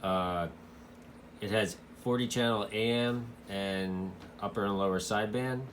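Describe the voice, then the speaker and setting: A man giving a casual, hands-on product review in a halting way, as a hobbyist. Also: lowish voice, slight background noise. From United States.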